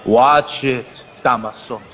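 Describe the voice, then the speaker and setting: An actor playing a proud, stern army lieutenant with a sardonic edge in a radio drama. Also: male voice, mid-range voice, steady tone, slow, fairly bright tone, a faint crowd. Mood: stern, threatening. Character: proud, threatening army lieutenant loyal to the governor. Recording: long hall reverb, music underneath.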